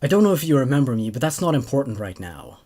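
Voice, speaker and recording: A man voicing a frantic male acquaintance in a horror story, pleading urgently in a hurried warning. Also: medium pitch, big pitch swings, warm tone. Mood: urgent, alarmed.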